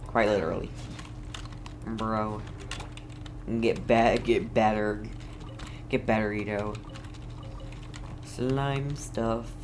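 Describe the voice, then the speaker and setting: A man giving casual, excitable and reactive commentary as he plays a video game. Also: fairly low pitch, drawn-out words, pauses often, background noise. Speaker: game streamer.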